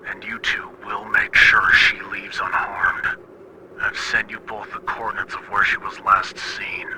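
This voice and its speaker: Casting: male actor as cold military commander, lowish voice, slow and condescending, heavy radio noise, horror animation. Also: completely flat delivery. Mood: stern. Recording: very noisy radio transmission.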